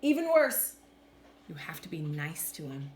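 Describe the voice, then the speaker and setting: A woman voicing a calm, secretive father in a children's storybook, deliberate and instructive. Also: low-pitched, voice pushed down. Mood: quietly serious. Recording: roomy echo with faint background noise.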